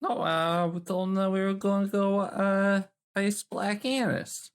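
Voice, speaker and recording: A man with a creaky, slightly high voice rambles haltingly through casual tabletop role-play. His pace is slow. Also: little pitch movement. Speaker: player.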